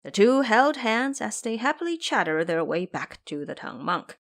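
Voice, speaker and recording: A woman's voice: medium pitch, very animated, normal speed. A woman giving scripted narration as the storyteller of an audio drama.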